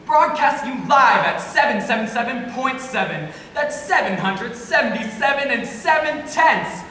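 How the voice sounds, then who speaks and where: A woman's voice: a little high, animated, unhurried, bright, forceful tone. A woman playing a chatty, playful, lonely late-night radio host in an amateur stage play, declaiming rhythmic patter. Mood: playful, theatrical. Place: stage in a hall, recorded from afar. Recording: echoey hall, distant mic, noisy.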